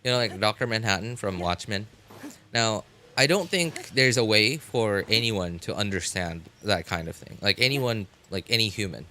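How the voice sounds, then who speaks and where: Low-voiced man musing on a livestream. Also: lively intonation, pushed and bright, a little hiss or hum. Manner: musing, halting, repeats and restarts phrases. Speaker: game livestreamer. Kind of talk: casual rambling livestream chat. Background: background music.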